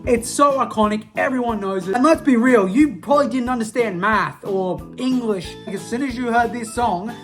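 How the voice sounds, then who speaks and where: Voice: mid-range voice, moderate pace, hardly any pauses, faint music behind. A young adult with an Australian accent, ranting casually and self-mockingly to camera in a slangy, rambling way full of restarts. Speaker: vlogger. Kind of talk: casual nostalgic rant to camera.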